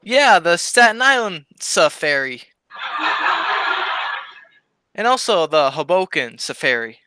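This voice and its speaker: Woman as bright-voiced, cheeky, dim comic sidekick. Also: lowish voice, big pitch swings, moderate pace, slight background noise. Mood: smart-alecky. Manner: innocent wisecracking punchline delivery. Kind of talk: old-time radio comedy double act.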